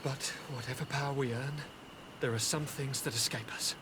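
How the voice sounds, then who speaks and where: A man's voice: medium pitch, fairly even intonation, moderate pace, lightly acted. A man playing a tormented young nobleman in a video game, theatrical and earnest. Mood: startled, conflicted. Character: tormented young nobleman turned monstrous, fervent. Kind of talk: video game cutscene acting. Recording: clear background noise.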